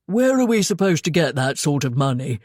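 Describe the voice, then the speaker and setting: Blunt, exasperated British family man, acted by a female narrator, animated pitch, dry close audiobook sound. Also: brisk, no room sound. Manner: blunt, colloquial British speech. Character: Kit, gruff exasperated husband and father.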